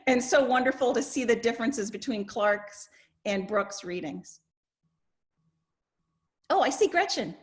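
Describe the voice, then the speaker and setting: A woman's voice: fairly high pitch, lively intonation, a little slow, pauses often. A woman, a professor, moderating an informal discussion over a video call. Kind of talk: informal online class discussion.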